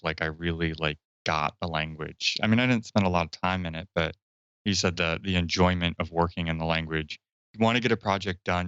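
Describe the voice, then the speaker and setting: Deep, smooth-voiced man chatting. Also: fairly bright tone, no room sound, lo-fi sound. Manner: chatty, thinking aloud, "like" fillers. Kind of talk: casual panel chat.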